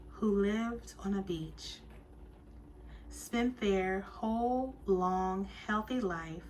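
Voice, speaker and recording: A woman telling a story as a guided meditation, soothing and deliberate, with hushed phrase endings. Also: medium pitch, slight background noise, rough recording.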